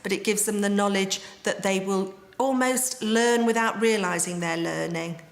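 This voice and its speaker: A woman's voice: medium pitch, normal speed. A woman speaking in a conversational, persuasive way in an interview. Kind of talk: interview answers.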